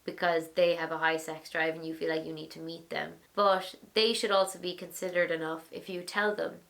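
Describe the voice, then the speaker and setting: A young Irish woman in her twenties, hosting a vlog and answering viewer questions in a frank, animated, chatty way. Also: sits low, a little fast, creaky. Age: 20s. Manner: animated, acts out little voices, frank and chatty. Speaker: vlogger, host of an advice series.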